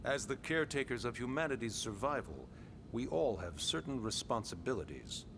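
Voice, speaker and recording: A man playing a senior government official in a video game, speaking in a formal, courteous and deliberate way. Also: medium pitch, big pitch swings, creaky, noisy background. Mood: polite, formal. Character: formal, polite senior male government official, calmly authoritative. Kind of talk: English video game cutscene acting.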